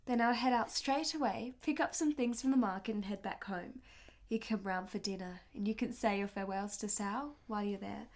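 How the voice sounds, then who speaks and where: A woman's voice: medium pitch, a little fast, few pauses, lightly acted. A woman playing an anguished, tender married lover in a radio play, speaking with earnest emotion. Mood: composed, tender. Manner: emotional, earnest, dramatic delivery.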